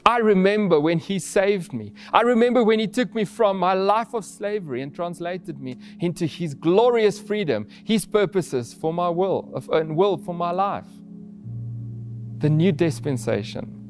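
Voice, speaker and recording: A man whose first language is Afrikaans, preaching an earnest sermon with restarts and soft laughs. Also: high voice, expressive, measured pace, faint music behind.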